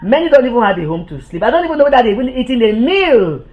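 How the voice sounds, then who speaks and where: A woman's voice: a little high, big pitch swings, very fast, highs cut off. A woman giving an impassioned political monologue, heated and accusatory, repeating herself and stumbling over restarts when agitated.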